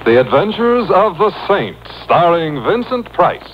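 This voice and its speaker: A man's voice: sits high, sing-song, a little slow, noisy background. A man announcing a vintage radio drama in a grand, declamatory scripted style. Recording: dull old radio broadcast tape.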